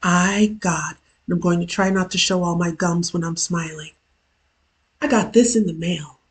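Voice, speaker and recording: A woman with a hoarse, worn-out voice, casually chatting as host of her own live stream during a work lunch break, with chatty self-deprecating asides. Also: fairly low pitch, moderate pace. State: hoarse, lost voice from illness. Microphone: camera mic.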